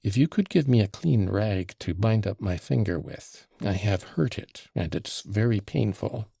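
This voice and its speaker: A man doing a gentle, polite young man. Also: lowish voice, expressive, a little slow, gravelly, slightly rough, no room sound, clean studio sound, heavily acted. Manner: quiet and polite.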